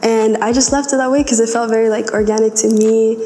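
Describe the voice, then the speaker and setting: A young woman who grew up in the United States, a singer-songwriter answering interview questions in a relaxed, hesitant way with many fillers. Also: on the high side, quick pace, slight background noise. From United States, raised in Atlanta. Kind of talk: casual interview. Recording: echoey room with long reverb.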